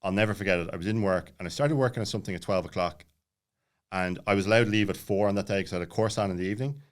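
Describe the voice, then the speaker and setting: Low voiced guy late 30s, talks really fast, rambles all over the place, super casual storytelling. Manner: rambling, jumps around, many filler words. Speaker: podcast guest.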